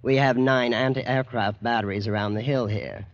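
An actor playing a blasé, boastful young American heir in a vintage radio drama, nonchalant and smug. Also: male voice, mid-range voice, fairly even intonation, normal speed, no room sound, natural voice. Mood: smug, casual. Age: young adult. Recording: muffled vintage radio recording.

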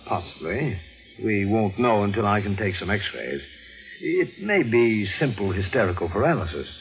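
An actor playing a grave family doctor in a 1940s radio mystery, with a measured, professional manner. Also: male voice, on the low side, sing-song, rough recording. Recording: vintage radio recording with music.

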